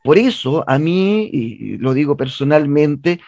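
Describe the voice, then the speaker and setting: Higher-voiced Chilean man, dull dry audio. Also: animated, slow pace, dark tone, dry recording. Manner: earnest, emphatic, repeating words as he argues. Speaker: theatre director, interview guest. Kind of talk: conversational radio interview.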